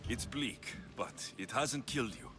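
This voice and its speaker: An actor playing a steady, blunt male mercenary of ancient Greece in a video game cutscene, speaking in a plain, measured way. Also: medium pitch, over music, lightly acted. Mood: earnest, reassuring.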